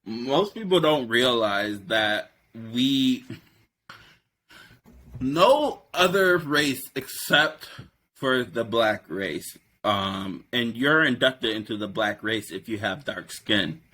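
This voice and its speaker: A man hosting a casual political discussion, talking in a rambling, animated way with many fillers. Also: medium pitch, slow, poor audio. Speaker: podcast host.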